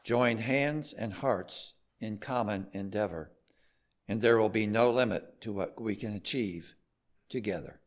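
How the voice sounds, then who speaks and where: A man with a fairly low voice reads a short devotional text aloud. He takes it very slowly, as a lay reader in a service. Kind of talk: reading a chalice lighting aloud.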